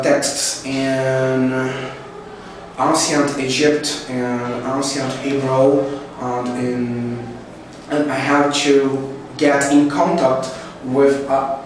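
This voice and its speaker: A man whose first language is Italian, answering interview questions slowly and pausing to search for words. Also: medium pitch. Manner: slow, searching for words with fillers. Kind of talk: class project interview. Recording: roomy echo, distant mic, faint noise.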